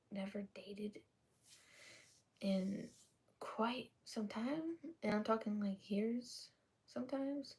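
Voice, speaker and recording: A woman in her twenties rambling through a personal confession to camera, halting, with lots of ums and restarts, and often dropping to a half-whisper. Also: mid-range voice, breathy edge. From United States. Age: 20s. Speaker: vlogger.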